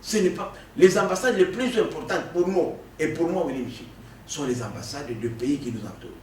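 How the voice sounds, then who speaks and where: A man speaks with insistent, animated energy, often repeating and restarting words as he presses his point. His voice sits a little high and sounds distant from the microphone. The room echoes, and there is faint noise. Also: a little fast. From Democratic Republic of the Congo. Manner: emphatic, animated, with frequent restarts and stammers. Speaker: political guest. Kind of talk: political interview.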